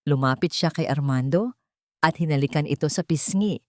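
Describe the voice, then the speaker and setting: A woman's voice: deep voice, moderate pace, a little mellow, no echo, studio quality. A woman with a Filipino accent reading a scripted dramatic story as its narrator.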